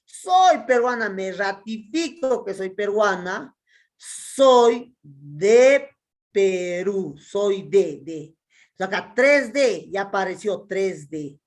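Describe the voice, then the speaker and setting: A woman's voice: medium pitch, animated, slow pace, a little mellow. A Peruvian woman teaching a chemistry class, working through exercises and asking her students questions that she often answers herself. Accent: Peruvian Spanish. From Peru. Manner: asks students questions and answers them herself, counting step by step. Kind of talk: classroom lecture solving exercises.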